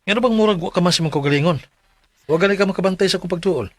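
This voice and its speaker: A man's voice: high voice, very fast, no echo. A man with a Cebuano accent acting a concerned, nagging husband and father in a radio drama.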